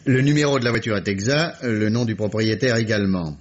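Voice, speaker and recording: Husky, deferential police subordinate played by a man. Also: on the low side, brisk, natural voice. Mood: deferential, eager. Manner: apologetic, eager. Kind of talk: French radio mystery drama acting. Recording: muffled vintage radio recording.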